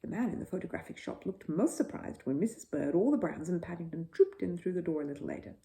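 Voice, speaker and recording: A woman narrates a children's bedtime story. Her tone is mellow and soft-edged, and her pitch moves expressively up and down as the scripted reading goes along. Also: lively intonation, quick pace, vocal fry.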